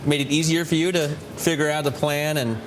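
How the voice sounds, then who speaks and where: A man's voice: fairly even intonation, fairly quick. A man in his forties with an American accent, interviewing informally as co-host of a TV news show. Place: open TV studio beside a newsroom. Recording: clear background rumble and noise.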